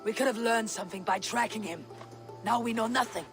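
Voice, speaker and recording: An actress playing a viking clan leader who speaks in a measured, authoritative way. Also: female voice, fairly high pitch, natural voice. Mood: commanding. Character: female viking clan leader, calm and commanding. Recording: game cutscene over soft music.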